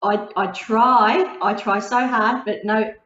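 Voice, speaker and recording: Woman, podcast host, chatty and warm-natured, lo-fi roomy audio. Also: on the high side, steady tone, slow. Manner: chatty, laughs often, restarts sentences. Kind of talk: casual video interview. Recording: dull lo-fi sound in a roomy space.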